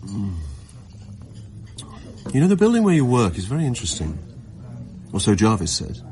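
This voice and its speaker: A suave, mysterious suitor in a radio drama. His low voice moves in big, playful swoops, teasing and evasive, with a quiet menace underneath. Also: wildly swooping pitch, normal speed, a little hiss or hum. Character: smooth, enigmatic male suitor with a quiet menace.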